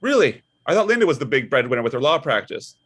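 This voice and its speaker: A man's voice: mid-range voice, sing-song, fast talker, a bit bright, breathy edge. A man playing a blunt, stubborn, unbothered father of a political candidate in a comedic table read. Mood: unbothered, needling.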